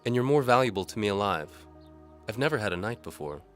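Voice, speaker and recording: A man's voice: on the low side, sing-song, quick pace, a bit bright, pitched above the performer's own voice. A man voicing a cool, teasing, scheming noblewoman in an audio drama, with music behind him. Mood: cool, coaxing. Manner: teasing, coolly firm. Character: alluring, scheming noble lady with a cool temper.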